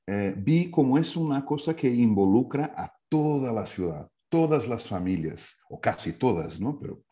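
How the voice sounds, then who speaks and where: A man's voice: mid-range voice, lively intonation, moderate pace, mellow. A middle-aged man from Brazil with a Brazilian accent, a native Portuguese speaker, explaining things informally like a storyteller on a headset during a video call, often adding short tag questions. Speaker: speaker sharing an idea. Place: indoor room, video call. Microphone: wired earphone headset.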